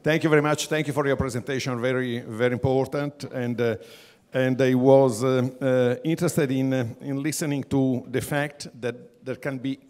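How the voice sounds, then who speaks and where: Guy from the audience with a question, formal, halting, lots of uhs. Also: mid-range voice, fairly even intonation, darkish tone. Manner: formal, halting, many fillers. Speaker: audience member asking a question. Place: conference hall floor mic.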